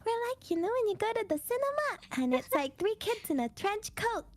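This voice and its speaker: A woman's voice: extremely high pitch, big pitch swings, brisk. A woman from the United Kingdom chatting casually and playfully on a stream, cutesy and teasing, mixing in Japanese phrases. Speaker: streamer, co-host.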